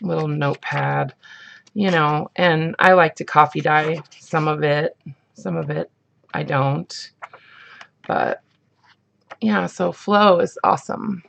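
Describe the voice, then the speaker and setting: A woman with a husky morning voice, chatting casually as she shows off craft supplies, rambling with lots of ums and likes. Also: low voice, takes it slowly, pauses often, a bit bright, a little hiss or hum. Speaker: craft vlogger. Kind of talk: casual show-and-tell chat.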